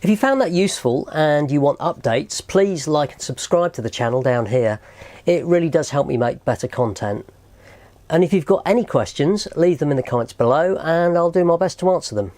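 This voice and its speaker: A man's voice: on the high side, animated, normal speed, a little mellow, no room sound. A man presenting a how-to tutorial in a methodical, explanatory way. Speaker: host of a tutorial channel.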